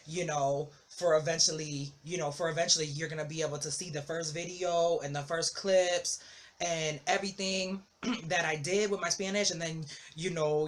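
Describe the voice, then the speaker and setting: A young adult vlogger giving a casual, rambling update, full of "you know" and "like", with excitable outbursts. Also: medium pitch, a little hiss or hum.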